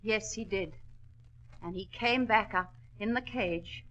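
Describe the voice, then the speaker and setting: An actress playing an anxious, determined widow who owns a mine, earnest and formal in a 1940s radio drama. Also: female voice, medium pitch, slow pace, a bit bright, dull audio. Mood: haunted, grave.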